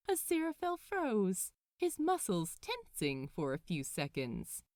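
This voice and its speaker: A woman narrates fiction with highly varied, lively intonation. She takes her time over each phrase, shaping it for drama. The sound is dry, with no room echo. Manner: animated, measured, dramatic phrasing. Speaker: podfic narrator. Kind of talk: expressive scripted storytelling narration.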